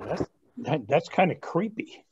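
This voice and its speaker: A man's voice: on the high side, sing-song, unhurried, lo-fi sound, noisy background. A young man playing an earnest, self-absorbed twenty-something grandson in a reader's theatre comedy. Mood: puzzled, curious.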